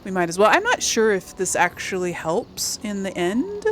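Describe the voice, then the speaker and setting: A woman's voice: mid-range voice, big pitch swings, moderate pace, a little hiss or hum. A woman hosting a let's play, chatting casually to her viewers in an informal, playful way. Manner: chatty, addresses viewers, giggly asides. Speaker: gaming video host.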